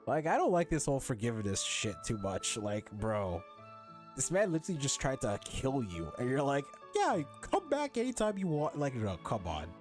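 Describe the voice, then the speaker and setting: A man's voice: mid-range voice, wildly swooping pitch, moderate pace. A man giving casual, blunt and sarcastic playthrough commentary with swearing, game music underneath. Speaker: gamer reacting to a story quest.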